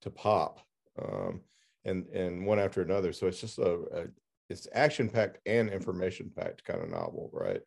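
A man hosting a casual interview, with halting, hesitant phrasing. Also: low-pitched, sing-song, vocal fry, darkish tone, breathy edge. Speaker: podcast host, interviewer.

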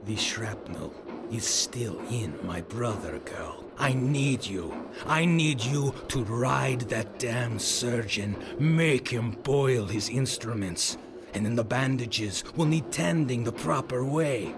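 An actor playing a gruff, loyal bodyguard who talks bluntly and protectively to a young lady in an audio drama. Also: medium pitch, animated, slow, background noise. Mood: gruff, pleading. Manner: blunt, plain-spoken, addresses her as girl. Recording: music behind the voice.

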